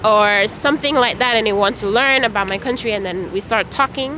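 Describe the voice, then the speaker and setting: A woman's voice: mid-range voice, brisk. A young woman from Saint Vincent and the Grenadines, answering street interview questions in a friendly, hesitant way with many fillers. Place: city street outdoors. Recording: street noise. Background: traffic and street noise.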